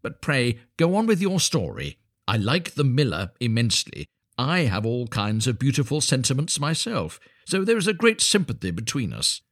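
Man as a high-pitched, pompous water rat. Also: wildly swooping pitch, normal speed, a bit bright, full character voice. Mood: self-satisfied. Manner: pompous, know-it-all. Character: self-important, opinionated male water rat. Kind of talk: storybook animal voice.

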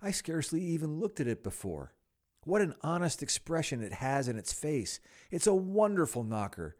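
Man playing gleeful old gentleman, storybook character, effusive, exclamatory, voice lifted high and loud. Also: sing-song, normal speed. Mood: giddy joy.

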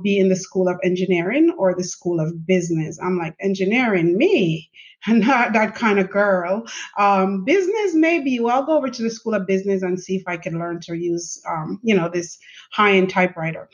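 Chatty woman telling her story. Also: medium pitch. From Jamaica. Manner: animated, chatty, laughs often, many fillers. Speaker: guest, chief information officer. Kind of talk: conversational interview storytelling. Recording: slightly dull lo-fi audio.

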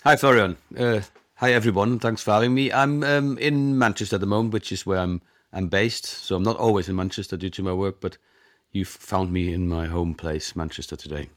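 German-native man in his forties, very low voice, dry close sound, a guest who hesitates and restarts a lot as he explains. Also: brisk, no echo. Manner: hesitant, many ums and restarts. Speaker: guest, conference interpreter. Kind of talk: conversational interview.

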